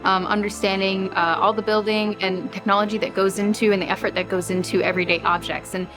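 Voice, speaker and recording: A woman gives a short introduction of herself as an engineer. Her voice sits at a middle pitch, with music clearly playing behind it. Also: flat delivery, fast talker, poor audio. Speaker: panelist, mechanical engineer.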